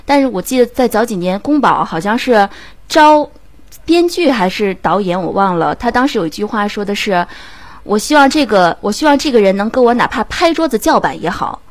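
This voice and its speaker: A woman's voice: medium pitch, animated, brisk, no echo. A woman guest speaking reflectively and earnestly in a casual online chat. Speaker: amateur voice actress, guest.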